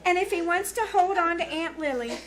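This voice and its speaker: An actress playing a melodramatic, lovestruck high school girl in a gushing 1940s radio comedy style. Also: animated, fairly quick. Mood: urgent, bossy. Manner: gushing, exclamatory, theatrical. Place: live on stage in a small theater.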